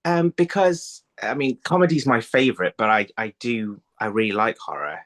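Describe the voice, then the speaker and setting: A man in his thirties giving conversational interview answers over a video call, haltingly, thinking aloud and repeating words. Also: medium pitch, a bit bright. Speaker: writer being interviewed. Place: indoor room, video call. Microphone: phone on a video call.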